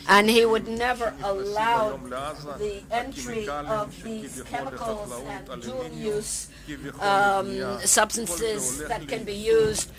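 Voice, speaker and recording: A woman interpreting a conference interview live from a booth, speaking slowly and in measured, halting phrases. The murmur of a large audience comes through clearly behind her, and her voice sits in the middle of the usual range. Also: medium pitch, poor audio. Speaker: simultaneous interpreter.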